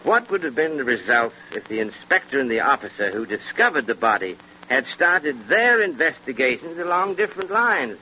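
Sharp-voiced seasoned British police inspector. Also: male voice, mid-range voice, very animated, normal speed. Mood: reflective, assured. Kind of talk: 1930s radio acting. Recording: noisy, muffled 1930s radio recording.

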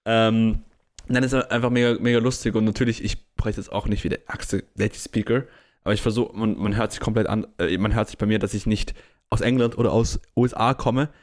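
A man's voice: lowish voice, fairly even intonation, brisk. A young man with an Austrian German accent co-hosting a podcast, chatting animatedly with many fillers and stumbles. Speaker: podcast co-host. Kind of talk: casual chat.

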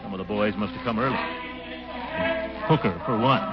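An actor playing a pompous, scheming middle-aged town commissioner in a blustery 1940s radio comedy style. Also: male voice, lowish voice, normal speed, lightly acted. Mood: self-satisfied. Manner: blustery, swooping delivery with chuckles. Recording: muffled, noisy 1940s radio recording.

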